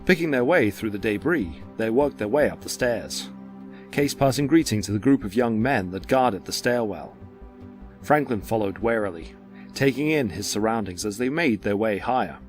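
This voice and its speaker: A man narrating a dramatic story from a script in a measured, descriptive way. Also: fairly low pitch, lively intonation, faint music behind.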